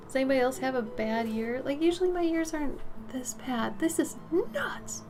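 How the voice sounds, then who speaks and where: A woman's voice: high-pitched, lively intonation, normal speed, darkish tone, a little hiss or hum. A woman in her thirties livestreaming while she paints, chatting loosely and frankly with her viewers as she answers their messages. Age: 30s. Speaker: streamer.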